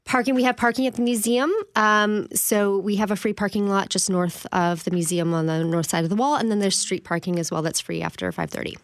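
A woman's voice: medium pitch, steady tone, fairly quick, few pauses, no echo. A woman speaking as a guest from a museum in a casual, conversational radio interview. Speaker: guest, museum programming organizer.

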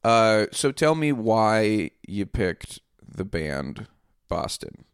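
A man's voice: lowish voice, big pitch swings, drawn-out words, clear, resonant tone, dry recording, clean studio sound. A man hosting a music podcast, rambling with many fillers and wry asides. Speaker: podcast host. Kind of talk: casual chat.

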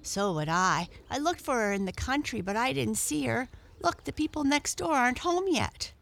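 Eager young storybook boy, female reader, raised pitch, earnest and friendly, animated delivery. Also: moderate pace, gravelly, exaggerated voice. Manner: earnest, exclaiming and questioning. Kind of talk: children's storybook character reading.

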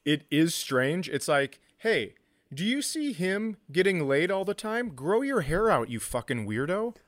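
A man's voice: on the high side, very animated, unhurried, no room sound. A man co-hosting a comedy podcast in casual banter, with wry, profane riffs.

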